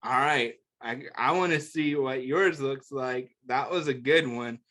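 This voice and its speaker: A man's voice: mid-range voice, measured pace, a bit bright. A man hosting story time, reading a picture book aloud to children and adding simple, encouraging asides and questions. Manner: simple, encouraging, instructive phrasing aimed at young children.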